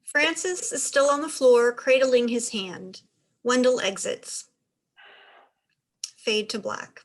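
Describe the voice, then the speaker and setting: A woman's voice: on the high side, expressive, takes it slowly, rough recording. A woman reading stage directions as scripted narration for a play reading.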